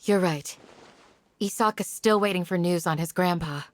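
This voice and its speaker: Woman playing a low-voiced, solemn village guardian. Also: slight background noise, natural voice. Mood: composed. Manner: measured, solemn, authoritative. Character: dignified, firm desert village guardian woman. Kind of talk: video game voice acting.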